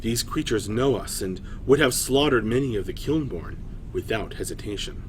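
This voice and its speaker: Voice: mid-range voice, expressive, normal speed, slight background noise, lightly acted, poor audio. A man playing a proud, solemn female clay golem in a fantasy story, with grave, formal speech.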